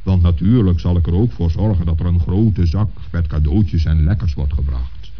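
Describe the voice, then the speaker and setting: A very deep-voiced old Saint Nicholas figure from a children's radio play, on a dull old recording. He is stately, kindly and patient, reassuring in a measured way.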